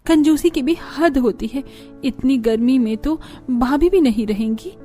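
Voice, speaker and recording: Brief: actress as a complaining young cartoon daughter, very high, mellow tone, whiny and irritated. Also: female voice, a little slow, faint music behind. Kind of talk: Hindi cartoon story voice acting.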